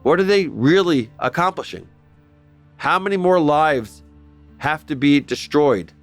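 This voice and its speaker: A man's voice: fairly high pitch, expressive, measured pace, faint music behind. A man hosting a scripted history explainer, talking earnestly with the odd wry aside. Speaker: host.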